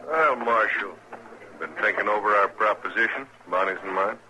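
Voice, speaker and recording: A crooked, jealous frontier gambler, played by a man, speaks with bitter menace in a lowish voice. It comes from an old-time radio western with a noisy vintage sound. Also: moderate pace, close to an everyday voice. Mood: suspicious.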